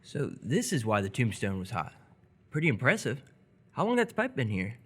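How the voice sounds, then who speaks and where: A man playing an awkward, polite seventeen-year-old boy in live radio theatre, speaking in a halting, casual, dryly humorous way. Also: mid-range voice, wildly swooping pitch, slightly rough. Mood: teasing, excited. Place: live stage performance before an audience.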